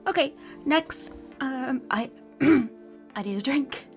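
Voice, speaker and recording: A young woman co-hosting a casual scripted recap commentary, playful and quick to swear. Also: high voice, vocal fry, soft background music. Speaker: co-host.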